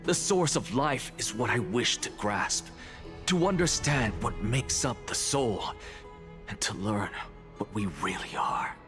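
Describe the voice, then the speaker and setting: An actor playing an arrogant, theatrical professor obsessed with truth, lecturing grandly and deliberately in a video game. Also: male voice, medium pitch, expressive, music in the background, close to an everyday voice. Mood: grandiose. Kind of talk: dramatic video game voice acting.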